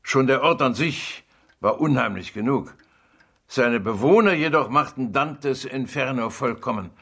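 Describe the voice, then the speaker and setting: An urbane gentleman with a mid-range voice and measured, articulate phrasing. He relishes his words like a theatrical storyteller in a radio play. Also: medium pitch, vocal fry, husky, heavily acted. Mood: relishing, suspenseful. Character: amused, urbane gentleman relishing an adventure tale. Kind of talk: radio drama acting, dramatic reading aloud.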